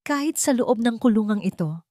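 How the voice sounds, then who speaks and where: A woman with a Filipino accent voicing a tender, dying young woman in an audiobook, halting and breathy. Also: a little high, lively intonation, dark tone, no echo, clean studio sound, voice pushed up, lightly acted. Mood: weak, tender. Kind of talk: audiobook character acting.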